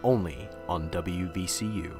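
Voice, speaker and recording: A man's voice: sits low, unhurried, gravelly, over loud music. Man as a formal radio serial announcer. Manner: formal, presentational. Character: male radio announcer narrating a mystery serial. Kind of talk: old-time radio announcer narration.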